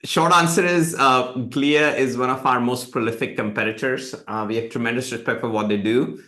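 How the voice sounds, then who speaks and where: Man, middle-aged, from India, Hindi native speaker, animated storyteller, mid pitch, echoey room. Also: normal speed. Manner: animated storytelling, quotes others in lively voices. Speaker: tech company CEO, guest. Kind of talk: live webinar interview.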